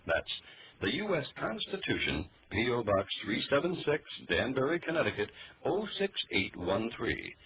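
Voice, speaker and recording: Animated male announcer, noisy radio. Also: mid-range voice, normal speed. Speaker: advert announcer. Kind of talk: scripted radio mail-order ad read. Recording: noisy dull shortwave radio broadcast.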